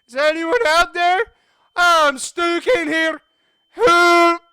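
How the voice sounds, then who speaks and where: A man's voice: a little high, fairly even intonation, normal speed, fairly bright tone, clear, resonant tone, no echo, studio quality, lightly acted. A man voicing a frightened young college student in a horror story, anxious and pleading. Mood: panicked pleading.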